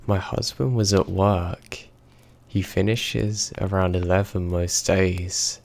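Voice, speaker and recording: A man narrating a horror story in a slow, measured, even reading. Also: sits low, gravelly.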